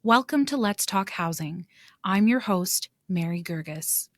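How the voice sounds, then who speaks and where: Woman host with a measured, formal delivery. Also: mid-range voice, expressive, dry recording. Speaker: podcast host. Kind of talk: scripted podcast introduction and moderating. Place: live panel event.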